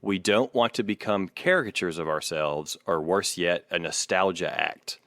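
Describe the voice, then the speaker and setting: A man's voice: sits low, normal speed, a bit bright, no room sound, studio quality. A man hosting a casual music podcast, with a wry, sardonic manner. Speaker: podcast host.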